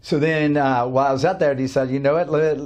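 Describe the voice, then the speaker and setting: A man's voice: mid-range voice, steady tone, quick pace, a little mellow. A middle-aged man from Canada telling his life story as a guest in a casual chat, upbeat and rambling with many fillers. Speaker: guest, cafe owner.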